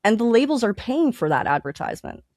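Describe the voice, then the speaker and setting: A woman's voice: fairly high pitch, very animated, quick pace, darkish tone, no echo, clean studio sound. A woman guest in a casual podcast interview, explaining things emphatically and repeating her key points. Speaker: podcast guest, independent music artist.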